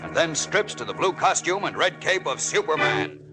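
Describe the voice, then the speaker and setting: An actor as the narrator of a 1940s radio adventure serial, suspenseful and emphatic. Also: male voice, fairly high pitch, sing-song, moderate pace, low-quality recording. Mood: dramatic. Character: dramatic male adventure serial announcer. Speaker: announcer and narrator. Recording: old radio recording, loud music underneath.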